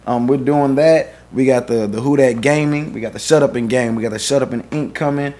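A man's voice: mid-range voice, brisk, darkish tone. A man, co-hosting a podcast in loose, joking casual chat and plugging the show's projects. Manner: loose, joking, promo-style plugs. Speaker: podcast co-host.

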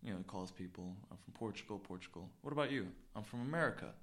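A man co-hosting a casual podcast, telling stories in an excitable, slangy way and often repeating himself. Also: lowish voice, fast talker, a little hiss or hum. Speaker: podcast co-host. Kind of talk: casual chat, animated storytelling.

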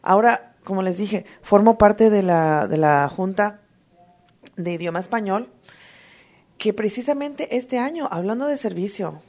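A woman giving an emotional, earnest personal testimony at a recovery meeting, halting often to restart her sentences. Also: mid-range voice, expressive, a little mellow. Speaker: twelve-step fellowship member sharing.

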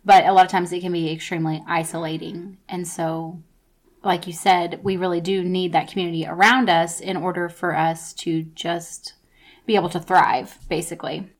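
A woman's voice: on the low side, flat delivery, moderate pace. A woman in her thirties hosting a podcast, talking earnestly and thinking aloud with fillers. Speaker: podcast host. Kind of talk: conversational podcast talk.

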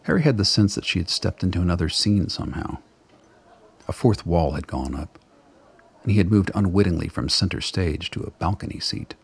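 A man narrating a radio play in a measured, dramatic, literary storytelling style. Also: sits low, expressive, creaky, husky.

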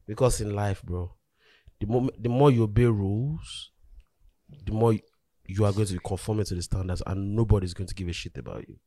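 A man's voice: lowish voice, expressive, brisk, warm tone, no room sound. A man hosting a podcast debate, talking emphatically and repeating himself to drive points home. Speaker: podcast host.

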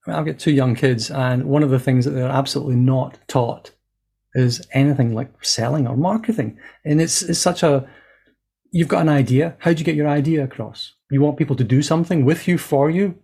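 A man's voice: medium pitch, a little fast, gravelly, mellow, breathy edge. A man hosting a leadership podcast interview, asking questions and joking with his guest. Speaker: podcast host.

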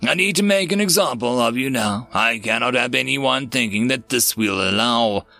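A male narrator plays a wounded father bent on revenge in a sci-fi story. He declaims his threats in rhyme, with a gravelly, forceful edge and sweeping pitch. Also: lively intonation, moderate pace, dry recording, faint music behind. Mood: grim fury. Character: vengeful, wounded human father, grimly commanding.

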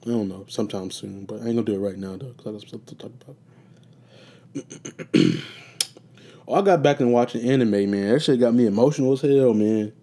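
Young male host, casual profane solo talk, tired and a bit raspy, dark mellow timbre. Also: fairly low pitch, brisk, pauses often. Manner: loose, profane, meandering with many fillers. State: getting raspy and sleepy. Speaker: podcast host.

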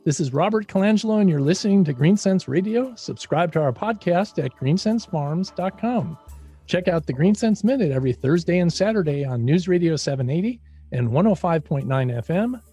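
A man's voice: high-pitched, lively intonation, a little fast, mellow, breathy edge, soft background music. A man hosting a radio interview show, casual and filler-heavy in his questions.

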